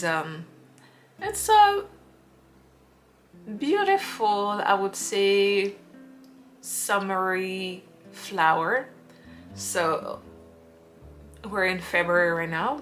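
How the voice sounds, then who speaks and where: Unhurried woman over background music. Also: medium pitch, a bit bright. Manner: unhurried, drawn-out phrasing with playful acted quotes. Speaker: perfume reviewer. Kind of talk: casual perfume recommendation vlog. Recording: music playing underneath.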